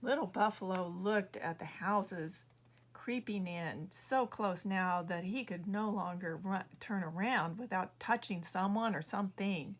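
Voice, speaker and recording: A woman reading her own children's book aloud as an expressive storyteller. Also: mid-range voice, measured pace.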